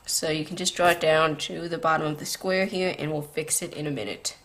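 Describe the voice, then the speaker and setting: Low-voiced woman with a tired, scratchy sound. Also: steady tone, brisk, fairly bright tone. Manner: patient, beginner-friendly explaining. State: tired, scratchy voice from staying up late. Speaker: drawing tutorial host. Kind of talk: step-by-step drawing lesson.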